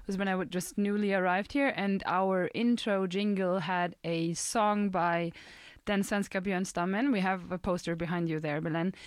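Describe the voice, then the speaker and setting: A young woman hosting a radio show in casual chat, relaxed and easygoing, with many fillers as she talks. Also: medium pitch, no echo. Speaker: radio host.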